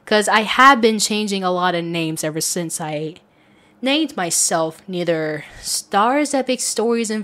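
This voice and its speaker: A woman's voice: mid-range voice, fairly bright tone. Chatty, earnest woman answering listener questions. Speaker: audio content creator.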